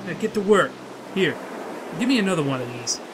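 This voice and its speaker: A man's voice: high-pitched, sing-song, fairly quick, noisy background. A man playing a menacing, impatient mob boss in a comic-book dub. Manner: cold, commanding.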